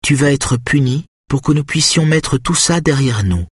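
A stern, cruel matriarch in an audiobook, acted out by a man. The voice is raised in pitch and very husky, commanding and accusing, worn down yet fiercely set on obedience. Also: lively intonation, normal speed, no room sound, studio quality. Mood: coldly commanding. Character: cruel, domineering, exhausted matriarch obsessed with family obedience. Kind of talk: audiobook character acting.

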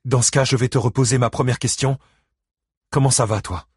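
Man acting concerned ex-wife, raised husky voice. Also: mid-range voice, steady tone, brisk, a little mellow, dry recording, studio quality, pitched above the performer's own voice. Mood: exasperated. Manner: exclaiming, emotional. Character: Christine, concerned, exasperated ex-wife, a grandmother.

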